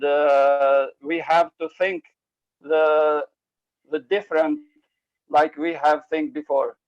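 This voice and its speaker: Guy talking slowly on a video call, halting english, kinda high voice, dull sound. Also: no echo. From Albanian Icelandic, based in Norway. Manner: halting, broken phrasing in non-native English. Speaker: participant in a group coaching call. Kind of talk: hesitant, unscripted opinion sharing.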